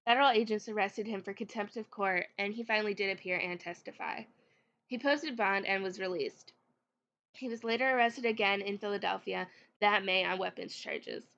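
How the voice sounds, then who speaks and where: A woman with a cold narrates history in a loose, chatty way, a little sniffly. Her voice is bright and clear at an ordinary middle pitch. The recording sounds slightly dull, as if made at home. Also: moderate pace. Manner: chatty, with frequent fillers and restarts. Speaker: history video host.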